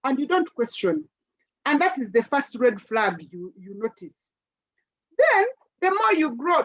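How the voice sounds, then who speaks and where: A Ugandan woman with a somewhat high voice talks earnestly in a webinar, circling back over repeated words as she thinks. Her audio comes through a dull, lo-fi video call. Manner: earnest, repeats words while searching for the next one. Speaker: panelist. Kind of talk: webinar discussion, personal account.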